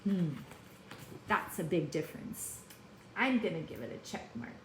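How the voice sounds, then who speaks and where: A woman's voice: on the low side, expressive, measured pace, slight background noise. A woman teacher giving a read-aloud lesson, talking to young children and putting questions to them. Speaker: elementary school teacher. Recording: echoey room.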